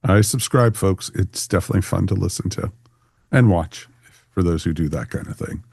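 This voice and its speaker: A man with a fairly low voice hosts a podcast. He talks in a casual, informal style with a joking manner. The sound is very dry and close, with no room on it. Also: normal speed, creaky, darkish tone, slightly rough, dry recording. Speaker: podcast host.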